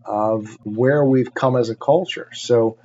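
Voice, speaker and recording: A man co-hosting a podcast, discussing ideas casually with thoughtful, measured phrasing. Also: mid-range voice, a little mellow, muffled recording, a little hiss or hum. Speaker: podcast co-host.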